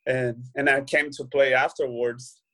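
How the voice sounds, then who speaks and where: A young Brazilian man with a Brazilian Portuguese accent, a footballer guesting on an interview, relaxed and full of "you know" fillers. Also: mid-range voice, a little slow. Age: young adult. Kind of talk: casual interview chat.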